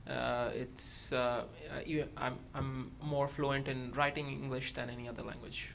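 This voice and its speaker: A man from India whose first language is Hindi, answering hesitantly on a panel with many pauses and fillers. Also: mid-range voice, level tone, gravelly, fairly bright tone.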